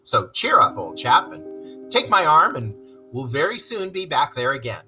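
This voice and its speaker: A man's voice: on the high side, big pitch swings, moderate pace, sharp tone, soft background music, pitched above the performer's own voice, full character voice. A man voicing a kindly, decisive storybook rat, a loyal chum with a hearty, old-fashioned way of talking. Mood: hearty, resolute. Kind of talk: storybook read-aloud character voice.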